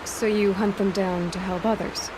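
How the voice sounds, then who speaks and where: An actress playing a young huntress heroine in a video game, speaking in a measured, direct, questioning and matter-of-fact way. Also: female voice, medium pitch, flat delivery, background noise, natural voice. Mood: wary. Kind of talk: video game character acting.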